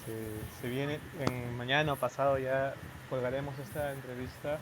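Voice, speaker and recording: A man's voice: medium pitch, level tone, normal speed. Male host on noisy audio. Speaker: interview host. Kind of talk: casual live interview. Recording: noisy live-stream audio.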